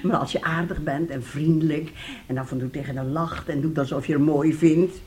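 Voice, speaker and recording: A woman's voice: deep voice, steady tone, brisk, darkish tone, a little hiss or hum, lightly acted. A middle-aged actress playing a bossy, scheming, self-pitying mother who coaxes and scolds in a radio play. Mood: coaxing.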